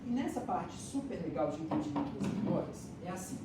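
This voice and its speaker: A woman's voice: lowish voice, very animated, a little fast. A woman giving a classroom lecture, explaining step by step and checking that her students follow. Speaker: lecturer teaching hypnosis and neuroscience. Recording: echoey room, distant mic, audible noise.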